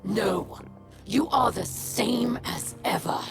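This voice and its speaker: A woman's voice: on the high side, takes it slowly, creaky. A woman playing a proud, vengeful alien warlord queen in a video game, speaking with formal, menacing, commanding oratory. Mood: accusing. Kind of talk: dramatic video game cutscene acting. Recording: loud music under the voice.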